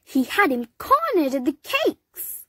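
Lady reading a kids storybook real slow, breathy, big sing-song swoops. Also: dry recording, clean studio sound. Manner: slow and expressive, jumps up high for dramatic cries. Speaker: storybook reader. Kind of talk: children's read-aloud narration.

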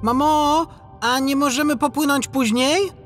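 A woman voicing an eager, excitable young male humpback whale child in a children's audiobook. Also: sits high, a little slow, soft background music. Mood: eager, hesitant. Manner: breathless enthusiasm, pleading.

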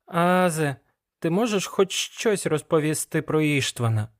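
A man voicing an anxious, excitable young apprentice magician, insistent and easily alarmed. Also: a little slow. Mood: doubtful, questioning. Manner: high-pitched, breathless alarm, insistent questioning.

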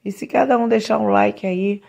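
Chatty woman filming a home vlog, kinda gravelly, talks to her followers, phone cam in a bare kitchen. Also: medium pitch, fairly even intonation, normal speed, darkish tone. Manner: chatty, repeatedly says "gente", talks to viewers while unboxing. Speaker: home vlogger. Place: home kitchen under renovation, indoors.

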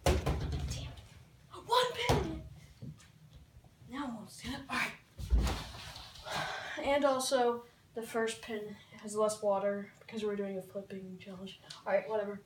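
A woman's voice: medium pitch, unhurried, pauses often. A woman chatting casually as a vlog host, with slangy, muttered asides. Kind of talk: casual challenge vlog chat. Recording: very noisy home video sound.

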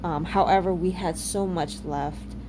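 A woman in her thirties narrating a home renovation video in a relaxed way, with many ums and uhs. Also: on the low side, unhurried, noisy background. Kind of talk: casual voice-over narration.

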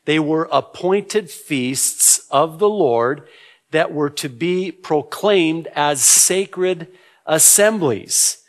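A middle-aged man, a native Arabic speaker, preaching as a pastor in a measured, explanatory way, repeating key words deliberately. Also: on the high side, lively intonation. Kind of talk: sermon, Bible prophecy teaching. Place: indoor church, at a lectern. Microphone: gooseneck lectern microphone.

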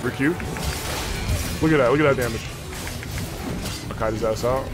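A man's voice: medium pitch, a little slow, music in the background. A man in his twenties bantering casually while gaming, with cocky, slangy trash talk into a desk microphone. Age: 20s. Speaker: streamer playing as a trolling student. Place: small indoor room. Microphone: large desk microphone up close.

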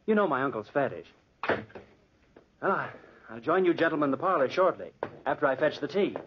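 An actor playing a young man who murdered his uncle, a guilt-crazed nephew in a 1940s radio drama whose feigned calm cracks into frantic whispers and shouts. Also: on the high side, moderate pace, slight background noise, natural voice. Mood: smooth, composed. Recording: muffled old radio broadcast.

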